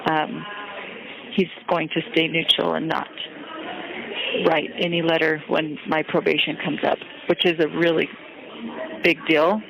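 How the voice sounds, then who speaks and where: A woman inmate talking haltingly and deliberately on a recorded phone call. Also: low-pitched, flat delivery, creaky, noisy background. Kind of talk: phone conversation. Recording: jail phone call with background chatter.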